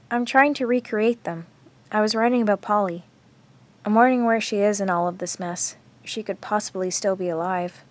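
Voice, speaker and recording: A woman's voice: medium pitch, moderate pace, natural voice. An actress playing an earnest, guarded teenage girl who confides in her diary and bristles when challenged, in a radio drama. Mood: startled, pensive. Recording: music under the dialogue.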